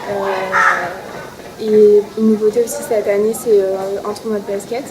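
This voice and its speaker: A 21-year-old woman student introducing her association to the camera, halting with frequent fillers. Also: mid-range voice, little pitch movement, noisy background. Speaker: student association vice-president. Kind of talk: casual presentation to camera.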